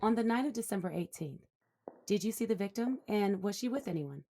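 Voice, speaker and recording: Female prosecutor, played by an actress, businesslike and precise, medium pitch, formal courtroom drama delivery. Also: expressive, a little fast, mellow, slight background noise, natural voice. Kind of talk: audio drama acting, courtroom questioning.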